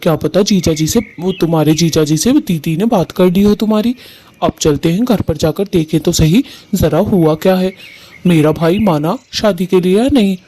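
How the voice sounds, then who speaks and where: A woman's voice: fairly low pitch, moderate pace, warm tone, lightly acted. A woman voicing an eager, lovestruck young male bird in a cartoon story, with an earnest, pleading manner. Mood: devoted.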